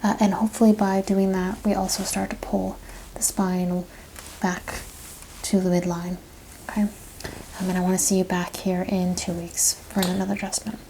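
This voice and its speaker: A woman speaks softly as a chiropractor in an ASMR roleplay, her voice mellow and hushed, often slipping toward a whisper. There is some creak in it, at an average pitch, over faint background noise. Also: flat delivery, normal speed, low-quality recording. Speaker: ASMR host playing a chiropractor. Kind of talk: soft-spoken ASMR medical roleplay.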